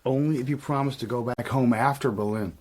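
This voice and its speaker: An actor playing a smooth, pragmatic, protective man in a radio drama, speaking in a controlled, matter-of-fact way. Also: medium pitch, fairly quick, a little mellow, lightly acted. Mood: firm, practical.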